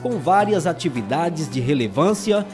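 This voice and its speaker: A man reading a formal, measured news voice-over about a school event. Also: a little high, lively intonation, music in the background. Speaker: news reporter. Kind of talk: scripted news narration.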